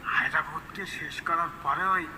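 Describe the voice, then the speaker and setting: A man voicing a wise old forest mystic in a cartoon, explaining with deliberate authority. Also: fairly high pitch, steady tone, bright, forceful tone, slight background noise. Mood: calm, instructive. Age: older. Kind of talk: cartoon character voice acting.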